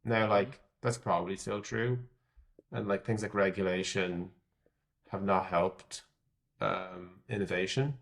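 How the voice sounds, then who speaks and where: A man with an Irish accent speaks in a fairly low voice. He answers as a tech executive in a podcast interview, conversational and candid, reasoning out loud and qualifying what he predicts. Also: fairly even intonation, unhurried, vocal fry. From Ireland. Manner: candid, thinking aloud, hedging his predictions.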